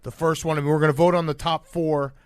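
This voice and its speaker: A male podcast host with a fairly high voice leads a casual sports chat. He moderates informally and keeps the discussion moving, recorded very dry. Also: little pitch movement, quick pace, clean tone, no room sound.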